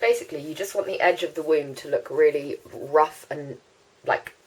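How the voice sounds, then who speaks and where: A woman's voice: low voice, moderate pace, darkish tone, husky, low-quality recording. A young woman with a congested, stuffy voice from a cold, chatting casually through a makeup tutorial, with lots of ums and likes. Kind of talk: casual how-to chat.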